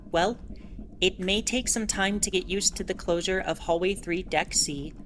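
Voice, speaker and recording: A woman voicing a steady, dutiful starship captain in an audio drama, talking in a measured, composed way. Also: fairly low pitch, slight background noise. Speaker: ship captain.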